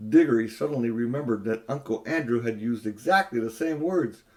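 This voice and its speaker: A man's voice: mid-range voice, expressive, a little fast. Older man reading a storybook. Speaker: audiobook narrator. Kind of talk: reading a novel aloud.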